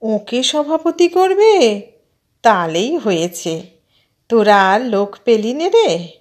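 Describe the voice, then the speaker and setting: A woman's voice: on the high side, sing-song, takes it slowly, darkish tone, voice pushed up. A woman voicing an older housewife in an audio story, a boastful, complaining aunt who scolds and belittles her husband. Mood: mocking, dismissive. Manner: complaining, self-important, emphatic scolding.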